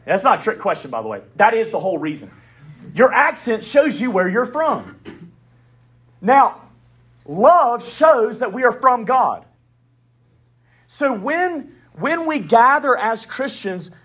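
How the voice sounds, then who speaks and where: A man preaching a sermon in a Southern US accent, with emphatic declarations, quiet asides and folksy humor. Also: very high-pitched, very animated, unhurried, breathy edge. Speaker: pastor.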